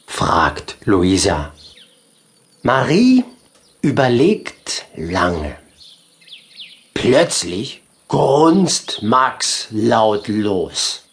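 A storybook narrator reading a children's tale in a deliberate, expressive way. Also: medium pitch. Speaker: audiobook narrator.

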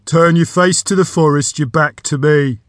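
A man voicing a talking magic door from a storybook, a gruff, self-important gatekeeper with a booming gravelly voice. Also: fairly even intonation, normal speed, no room sound, clean studio sound, natural voice. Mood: stern, commanding. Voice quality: deep, gravelly.

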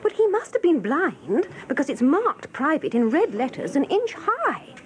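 An actress playing a prim, eager office secretary in a British radio drama, with an English accent and a genteel, gossipy, earnest manner. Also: female voice, high-pitched, sing-song, moderate pace, a little hiss or hum. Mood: scandalised.

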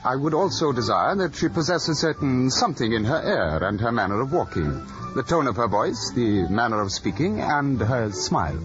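Guy acting a haughty exacting gentleman, formal and deliberate, muffled old radio play w music. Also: medium pitch, sing-song, natural voice. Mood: stiff, disdainful. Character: proud, aloof, exacting young gentleman. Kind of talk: period radio drama acting. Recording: dull radio recording with music behind.

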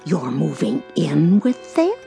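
Polite elderly woman, very low, mellow voice, deliberate, radio drama. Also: wildly swooping pitch, creaky, faint music behind, full character voice. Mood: polite curiosity. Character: polite, mild elderly woman in a rest home.

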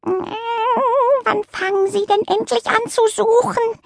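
A woman voicing a haughty, excitable female cat in a children's story, with formal, affected, gushing speech. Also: very high-pitched, slow, gravelly, dry recording, clean studio sound, pitched above the performer's own voice, heavily acted. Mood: impatient, urging.

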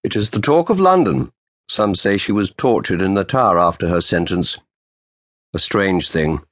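A man voicing a stern, sardonic old man past sixty with a sharp voice and a clipped manner. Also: low voice, lively intonation, normal speed, no echo, studio quality. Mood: grave.

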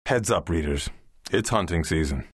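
A man's voice: low-pitched, expressive, moderate pace, husky, dry recording, studio quality. A man playing a combative, embittered divorced publisher, declaiming verse to rally readers in an audiobook. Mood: alarmed, combative. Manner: declamatory, exhortative. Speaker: audiobook narrator. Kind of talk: dramatic verse recitation.

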